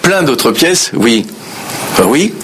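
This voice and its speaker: A man's voice: medium pitch, big pitch swings, takes it slowly. A man, an actor giving casual, modest and hesitant answers in an interview. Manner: hesitant, modest, self-effacing. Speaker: stage actor being interviewed. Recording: clear background noise, room ambience.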